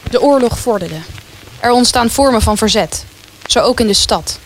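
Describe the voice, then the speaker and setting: A woman's voice: on the high side, animated, moderate pace, low-quality recording. Female radio drama narrator over rainy noise. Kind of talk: scripted radio play narration.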